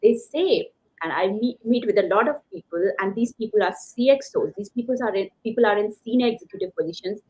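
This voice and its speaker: A woman's voice: medium pitch, lively intonation, brisk, a little mellow. A woman giving emphatic, conversational motivational advice as a coach being interviewed. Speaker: career coach, interview guest. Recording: slightly dull lo-fi call audio.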